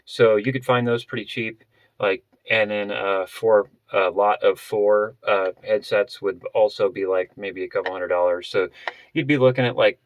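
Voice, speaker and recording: A man vlogger explaining gear in a casual, unscripted way, halting and often rephrasing as he goes. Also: lowish voice. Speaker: vlogger presenting a budget gear setup.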